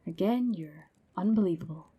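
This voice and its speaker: Husky, blunt huntress goddess played by a woman. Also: on the low side, moderate pace, mellow. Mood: dryly exasperated. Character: blunt, dry Norse huntress goddess, enthusiastic about weapons. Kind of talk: ASMR roleplay audio drama.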